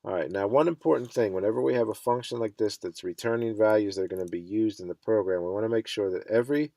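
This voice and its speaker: A man with a stuffy cold walks through a programming lesson in a relaxed, thinking-aloud way. His voice sits low with some gravel in it. The sound is close and dry, with keyboard clicks now and then. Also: fast talker, no echo. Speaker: coding instructor. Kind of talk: screen-recorded coding tutorial.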